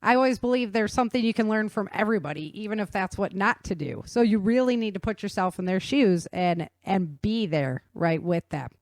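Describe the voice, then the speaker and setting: A woman's voice: medium pitch, expressive, no room sound. A woman in her forties or fifties hosting a podcast interview, engaged and agreeing in casual talk. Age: 40s or 50s. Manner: engaged, agreeing, quick affirmations. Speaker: podcast host.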